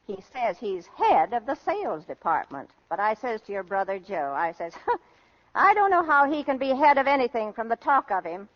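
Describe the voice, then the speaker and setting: A woman's voice: a little high, very animated, moderate pace. An actress playing a middle-aged mother, chatty, exclamatory and scornful, in an old radio comedy. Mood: scornful gossip. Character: middle-aged mother, gossipy, scornful of daughter's boastful suitor. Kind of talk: 1930s-40s radio comedy acting. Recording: dull old radio broadcast recording.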